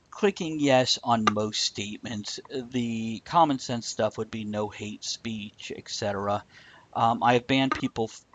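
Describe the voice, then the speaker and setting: A man's voice: medium pitch, highs cut off. A man hosting a live stream in casual, rambling chat, blunt and joking with some swearing.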